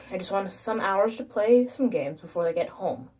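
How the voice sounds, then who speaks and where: A woman's voice: mid-range voice, animated, a little slow, a little mellow, husky. A woman voicing an irritated but protective teenage older brother in a horror story, scolding in casual slang. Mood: annoyed. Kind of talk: horror story narration with acted dialogue.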